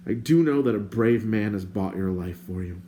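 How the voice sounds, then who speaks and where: A man's voice: lowish voice, moderate pace, mellow, a little hiss or hum, natural voice. A man voicing a proud, hot-tempered young male hero in an audiobook, curt and commanding. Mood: bitter.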